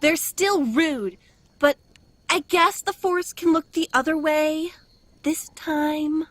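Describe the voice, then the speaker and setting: High-voiced, childlike forest goddess girl. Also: slow pace, no echo. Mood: grudging. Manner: childlike, pouty, teasing. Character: young forest goddess girl, playful, petulant, bashful. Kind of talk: audio drama character acting.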